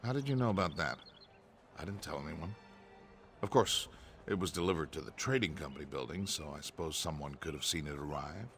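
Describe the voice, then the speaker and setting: Jittery proper trader, real low voice, soft game music under it. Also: male voice, a little fast. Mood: nervous. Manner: earnest, nervous, formal. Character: anxious male merchant clerk, earnest, proper. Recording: faint game music behind.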